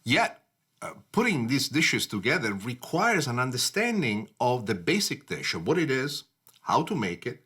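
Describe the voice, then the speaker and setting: A man hosting a cooking show from his kitchen as a TV chef, speaking with passion and expression and often pausing on "uh". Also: mid-range voice, breathy edge. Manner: passionate, expressive delivery with frequent "uh" fillers. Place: home kitchen.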